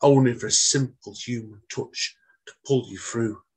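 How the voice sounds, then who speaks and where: A man, hosting a casual chat and reading his poems in a slow, measured way. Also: mid-range voice, fairly even intonation, a little mellow, slightly rough. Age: 40s. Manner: chatty, says mate, reads slow and measured. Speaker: podcast host.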